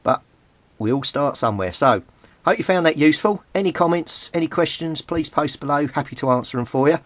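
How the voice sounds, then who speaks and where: A man's voice: on the high side, lively intonation, fairly quick, no room sound. A British man giving an informal, hands-on tutorial, explaining things step by step and repeating the key points. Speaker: CB radio hobbyist giving a tutorial. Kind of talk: informal hands-on instructional talk.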